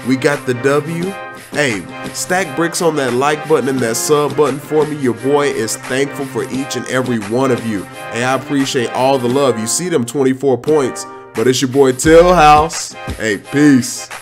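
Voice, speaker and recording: A man giving excited, slangy commentary on his own video game play, full of playful trash talk. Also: medium pitch, normal speed, music in the background.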